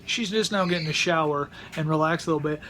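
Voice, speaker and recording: A man's voice: fairly high pitch, fast talker, bright, forceful tone, slight background noise. A middle-aged man talking casually at home for his vlog, rambling and often repeating words as he restarts sentences. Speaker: vlogger. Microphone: close mic.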